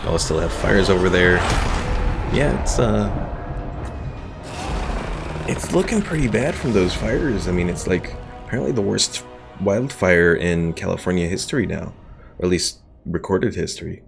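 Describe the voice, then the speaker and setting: Male streamer, low and gravelly, relaxed live commentary over loud game music. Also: measured pace, pauses often. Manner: laid-back, chuckling, reads chat aloud.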